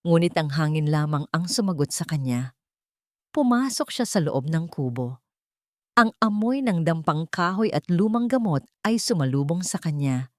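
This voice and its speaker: A woman's voice: sits low, very animated, normal speed, dry recording, clean studio sound. A woman with a Filipino accent reading a dramatic scripted story. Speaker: narrator.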